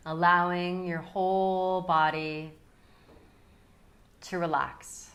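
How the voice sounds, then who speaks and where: A woman guides a relaxation meditation in a slightly low voice. She speaks very slowly and calmly, leaving long pauses between soothing phrases. Her delivery is unhurried, as a yoga nidra teacher would give it.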